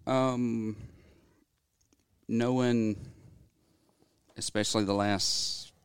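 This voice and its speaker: A man about fifty, a dentist guest, answering in a halting, earnest way with many fillers. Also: medium pitch, steady tone, clean tone. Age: 50s. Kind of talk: casual interview answers.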